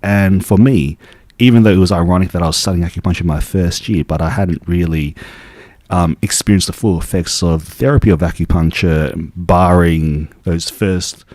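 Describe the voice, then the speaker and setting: A young man, born in Taiwan, working as an acupuncturist and telling his story in a relaxed, casual interview full of restarts. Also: low-pitched, normal speed, no echo. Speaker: acupuncturist guest.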